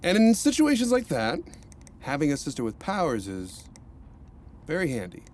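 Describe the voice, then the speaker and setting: An actor playing a cheerful male counselor who talks in an animated, rambling way. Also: a little high, normal speed. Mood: cheery. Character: cheerful male rehab counselor, upbeat and chatty.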